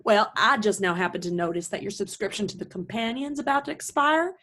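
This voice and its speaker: A woman's voice: medium pitch, brisk, fairly bright tone, close to an everyday voice. A woman playing a domineering, prim middle-aged Southern mother in a stage play reading, theatrical and chattering. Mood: gushing, coaxing. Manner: theatrical, indignant, gushing.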